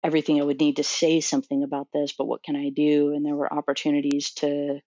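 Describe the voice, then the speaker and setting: A woman's voice: sits low, monotone, fast, mellow, dull audio. A woman in her thirties from Florida, a doctoral student answering interview questions thoughtfully, with many fillers and restarts. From Florida, USA.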